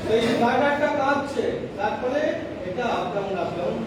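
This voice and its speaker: A man's voice: very high-pitched, normal speed. A man teaching a science class, repeating points and questioning the class. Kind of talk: classroom lesson with demonstration. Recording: echoey noisy room, distant mic.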